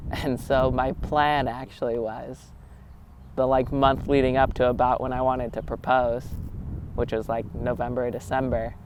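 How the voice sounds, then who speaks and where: A man's voice: medium pitch, little pitch movement, normal speed, darkish tone, a little hiss or hum. A young man telling his own love story in a casual, conversational way. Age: young adult. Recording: music behind the voice. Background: music.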